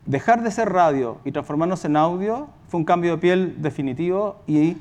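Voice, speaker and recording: A man with a Chilean accent giving an informal conference presentation as a company executive, halting and correcting himself as he goes. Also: on the high side, darkish tone.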